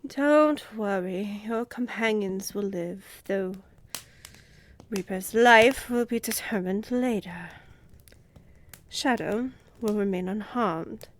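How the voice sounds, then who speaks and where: Woman playing a slow, haughty vampire noblewoman in echoing hall. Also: mid-range voice, a little hiss or hum, natural voice. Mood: seductive, condescending. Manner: slow, imperious, teasing, dropping into breathy whispers. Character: haughty female vampire noble, menacing, seductive, coolly commanding. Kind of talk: dramatic audio roleplay acting. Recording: echoey hall-like reverb.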